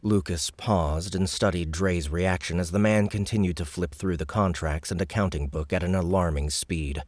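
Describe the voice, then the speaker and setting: A man narrating an audiobook in an expressive storytelling manner, close to the mic. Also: deep voice, normal speed, few pauses, dry recording. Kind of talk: scripted narration.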